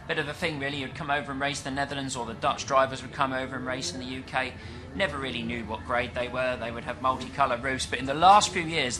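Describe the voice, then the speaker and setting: A British man giving live commentary on a stock car race, chatty and explanatory, pausing often with fillers. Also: mid-range voice, background noise. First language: English. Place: at a dirt track event.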